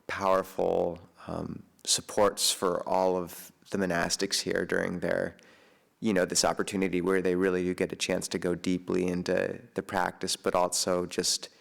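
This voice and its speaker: A man, a Buddhist monk, giving an informal Dharma talk in a soft, hesitant way with many pauses and fillers. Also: deep voice, monotone, gravelly. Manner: chants Pali homage at the start. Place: monastery meditation hall.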